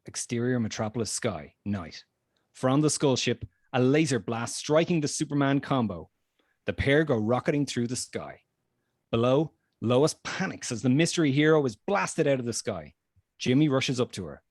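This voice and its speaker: Man reading script action lines out loud, average pitch, no room echo, table read. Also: animated, normal speed, studio quality. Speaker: narrator reading stage directions.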